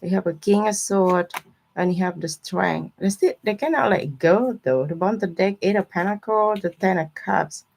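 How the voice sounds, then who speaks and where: A woman's voice: mid-range voice, moderate pace, vocal fry, darkish tone. A woman with informal, non-native English phrasing, chatting casually with viewers as she gives a live tarot reading. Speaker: tarot reader hosting a live stream.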